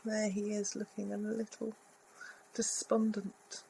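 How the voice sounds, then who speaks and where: A woman reading a picture book aloud to children, expressive, with little asides about the pictures. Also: medium pitch, takes it slowly, vocal fry, slight background noise, low-quality recording. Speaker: volunteer story reader.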